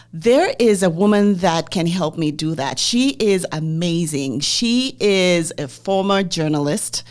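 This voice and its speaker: Low-voiced woman in her forties, host. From Zambia. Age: 40s. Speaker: podcast host. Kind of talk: personal interview conversation.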